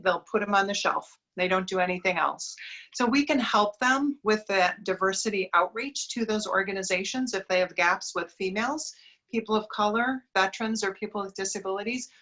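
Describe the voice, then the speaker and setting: A woman's voice: mid-range voice, sharp tone, low-quality recording. A middle-aged woman with a Wisconsin accent, talking upbeat and at length as a guest on an interview podcast. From Wisconsin, USA. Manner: upbeat, talkative, frequent affirming murmurs. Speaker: guest, diversity recruiting business owner.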